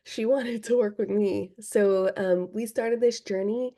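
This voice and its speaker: Mellow-voiced woman from Hawaii. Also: mid-range voice, fairly even intonation, unhurried. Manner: thoughtful, many ums and restarts. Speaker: film director, guest. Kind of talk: casual interview answers.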